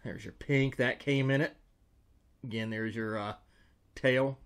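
A man's voice: mid-range voice, fairly even intonation, measured pace, smooth. An American man in his forties hosting a casual product review to camera in an informal, conversational way. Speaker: host, fishing lure reviewer. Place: small indoor room with tackle-covered walls. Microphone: desk microphone beside him.

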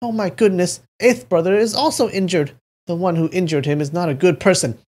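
A narrator voicing an excitable, indignant martial-arts brother in an audiobook. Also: mid-range voice, fairly quick, pitched above the performer's own voice, lightly acted.

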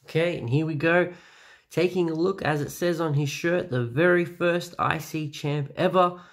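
A young man with a stuffy, nasal voice from a cold, chatting excitedly with many fillers as he reviews toys at home. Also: fairly high pitch, normal speed. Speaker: toy reviewer. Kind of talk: casual review vlog. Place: small room at home.

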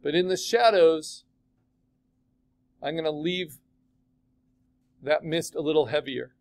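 Chatty male art teacher, higher voice. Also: takes it slowly. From California. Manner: chatty, asks the class questions. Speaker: art instructor. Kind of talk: classroom software demo.

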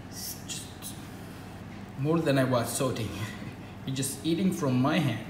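A man from Egypt with an Arabic accent, whose first language is Arabic. He speaks in a casual, halting way with many fillers, demonstrating something at a table indoors. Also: medium pitch, echoey room, background noise. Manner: halting, with frequent fillers and restarts. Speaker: reptile keeper.

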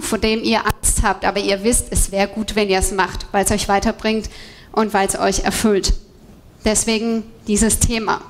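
A woman in her thirties speaking as a coach on stage, explanatory, giving a motivational talk to an audience through a headset mic. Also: mid-range voice. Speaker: co-presenter, movement coach. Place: indoor event room with seated audience. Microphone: headset microphone.

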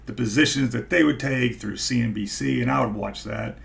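A man in his forties with a stutter, talking casually to camera in a halting way, searching for his words. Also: medium pitch, gravelly, a bit bright. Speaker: YouTube host, stock investor. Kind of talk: casual vlog talk to camera. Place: small home office, indoors.

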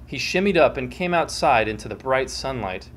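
A man in his twenties hosting a dramatic comic reading in a theatrical, mock-serious manner with deliberate enunciation. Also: medium pitch, lively intonation, a bit bright. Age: 20s. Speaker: show host and reader. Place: small home room with bookshelves, indoors. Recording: clear background hum and noise.

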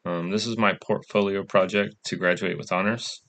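Young male student demoing his app; low, gravelly, bright tone, casual with fillers. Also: steady tone, moderate pace, a little hiss or hum. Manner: casual, many "um" fillers, plain walkthrough. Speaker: coding bootcamp student presenting a project. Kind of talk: screen-recorded project demo.

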